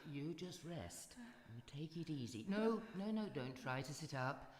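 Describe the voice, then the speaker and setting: A woman's voice: sits low, lively intonation, measured pace. A woman playing a courteous, sympathetic old friend in a live radio drama, with a polite, genteel manner. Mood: subdued, soothing. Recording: echoey hall, live stage performance.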